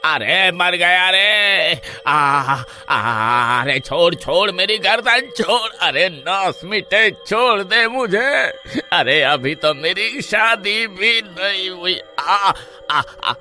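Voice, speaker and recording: A man doing a jittery comic village lad in a spooky cartoon, forever wailing in fright. His voice is bright and sharp, pitched a little high, and he drags out each panicked plea slowly. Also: animated, faint music behind, heavily acted. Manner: wails and shrieks in panic, comic. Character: panicky comic young male villager Hira.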